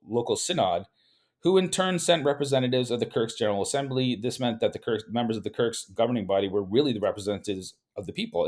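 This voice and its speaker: A man's voice: mid-range voice, a little mellow. A man reading a history book aloud, stumbling and repeating words now and then, and breaking off into casual spoken asides. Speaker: host reading from a book and commenting.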